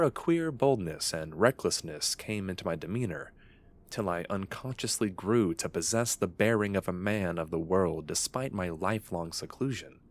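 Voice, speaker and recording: A deep male voice of an actor embodies a brooding young man gripped by morbid obsession. He narrates in the first person with solemn, ornate, old-fashioned diction, in the manner of a gothic horror radio play. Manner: solemn, formal, archaic and literary diction. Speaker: narrator and lead character.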